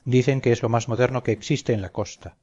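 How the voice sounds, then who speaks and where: A man's voice: mid-range voice, fairly even intonation, a little fast, mellow, dull audio, lightly acted. A man playing a dutiful old lighthouse keeper and veteran sailor in an audio story, earnest and deferential, speaking formally. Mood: solemn, proud. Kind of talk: audio story character dialogue.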